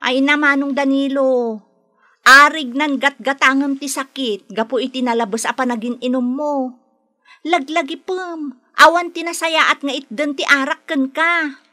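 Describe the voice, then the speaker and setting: An actress playing a frank, concerned younger sister in an Ilocano radio drama, speaking in an earnest, admonishing way. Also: female voice, high-pitched, fairly even intonation, measured pace, sharp tone, dry recording. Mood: scolding. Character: frank, concerned younger sister scolding her drinker brother.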